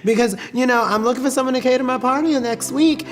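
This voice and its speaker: A woman acting as a supportive drag queen customer in a scripted inspirational short drama, with a theatrical, affirming, deliberate delivery. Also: sits high, faint music behind, lightly acted. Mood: encouraging.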